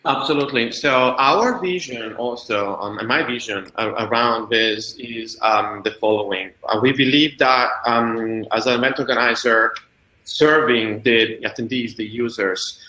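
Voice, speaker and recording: Halting male panelist, echoey room. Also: medium pitch, bright, forceful tone. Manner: halting, many "um" pauses. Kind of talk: panel discussion answer. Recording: roomy echo.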